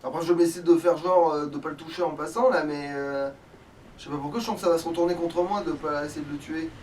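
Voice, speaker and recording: A man's voice: fairly high pitch, fairly even intonation, quick pace, a little mellow, recorded from a distance, a little hiss or hum. A man giving casual let's play commentary with a friend, grumbling and swearing in a colloquial way. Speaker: let's player.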